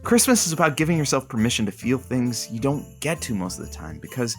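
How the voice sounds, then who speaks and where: A wry radio sidekick with a lively, swooping delivery. He is a showy, blunt male announcer from an audio drama, with music around his mid-pitched voice. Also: quick pace.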